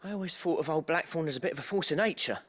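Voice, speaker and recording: An actor playing a friendly, cheeky working-class British sidekick in a video game, informal and eager. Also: male voice, a little high, very fast, a bit bright. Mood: musing.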